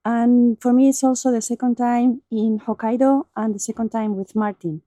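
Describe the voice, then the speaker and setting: Woman, warm mid voice, cheerful, dry. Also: a little high, fairly even intonation, moderate pace, dry recording. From Spain.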